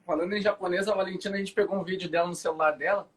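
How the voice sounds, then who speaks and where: A man, hosting a morning Bible live stream, relaxed and chatty, often restarting sentences. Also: high-pitched, rapid-fire. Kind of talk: casual chat, prayer and Bible commentary. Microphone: phone or webcam for a live stream.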